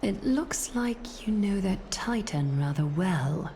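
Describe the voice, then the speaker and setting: A woman playing a solemn, regal leader, speaking in a measured, formal, composed way in scripted fantasy game dialogue. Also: medium pitch, expressive, warm tone, slight background noise. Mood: gracious.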